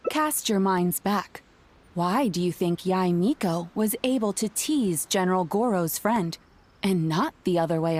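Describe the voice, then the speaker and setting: A courteous female shrine attendant in a video game. She has a medium-pitched voice and a composed, deliberate way of advising. She sounds shrewd and polite. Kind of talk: video game dub dialogue.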